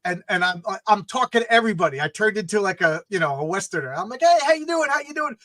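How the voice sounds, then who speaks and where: A man's voice: high voice, sing-song, fast, fairly bright tone, no room sound, clean studio sound. A middle-aged man with a New York accent, hosting a casual chat and telling stories with many stammered restarts. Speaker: host. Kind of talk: casual conversation.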